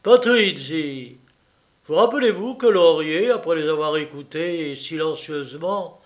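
A man reading as a feverish young man in a storybook, bitter and mocking, his voice rising sharply on the taunts. He speaks in a slightly high register and at an unhurried pace, and the delivery stays vehement throughout. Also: animated. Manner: vehement, sardonic.

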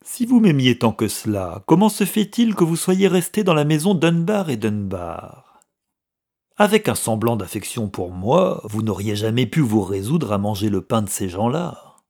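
A man voicing a bitter, scornful, grey-haired down-and-out with a husky voice and a sneering, forceful manner in an audiobook. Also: on the low side, sing-song, normal speed, full character voice. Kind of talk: audiobook character dialogue.

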